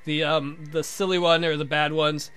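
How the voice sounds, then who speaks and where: Guy doing casual lets play commentary, highish bright voice, sweary n jokey. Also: level tone, moderate pace, clean tone, soft background music. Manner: sweary, joking. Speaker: let's play host. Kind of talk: casual game playthrough commentary and narration.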